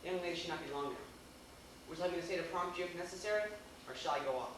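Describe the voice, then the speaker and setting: A man's voice: a little high, very fast, natural voice. Guy doing a formal deferential tutor in a stage play, far from mic, noisy theater recording. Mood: earnest. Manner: formal, declamatory, wordy. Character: fussy, deferential male tutor to a young master. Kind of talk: amateur stage play acting. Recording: echoey noisy theater, distant microphone.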